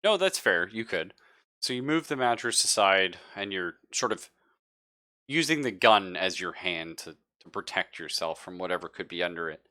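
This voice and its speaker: Bright, low-voiced man running a game. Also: expressive. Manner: halting, informal, thinks aloud while describing. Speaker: game master. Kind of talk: improvised narration of a tabletop roleplaying game.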